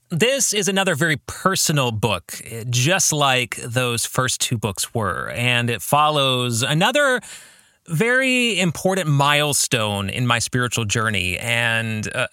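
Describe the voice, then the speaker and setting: A man with a slightly high, very expressive voice speaks as an author hosting his own podcast. His delivery is earnest, with deliberate stress on key words. The sound is dry and close, with no room around it. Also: dry recording. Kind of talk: personal podcast talk about own writing.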